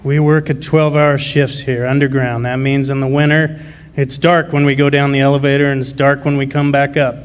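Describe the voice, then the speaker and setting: An actor playing a gruff, proud working-class miner and union man in a radio drama, with plain folksy speech. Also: mid-range voice, level tone, a little fast, lightly acted. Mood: guarded, challenging. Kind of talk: live original radio drama.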